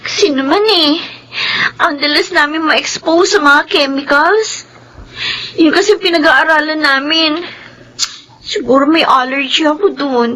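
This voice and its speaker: A woman's voice: high-pitched, unhurried, pauses often, sharp tone, rough recording. A woman playing a whiny, pleading teenage schoolgirl in a Filipino radio drama, with a Filipino accent. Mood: whiny complaining. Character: tearful, pleading, sickly teenage schoolgirl, youngest daughter.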